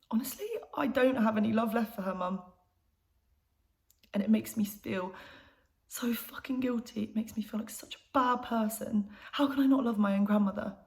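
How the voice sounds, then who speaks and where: A woman's voice: mid-range voice, close to an everyday voice. A young woman acting an intimate, conversational monologue as a troubled granddaughter confiding in her mother. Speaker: actor performing a self-written monologue.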